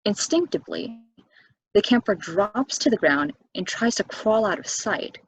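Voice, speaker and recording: A woman's voice: mid-range voice, very animated, measured pace, poor audio. An actress playing a level-headed, skeptical woman with a dry, conversational delivery, in a table reading of a stage play. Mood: storytelling.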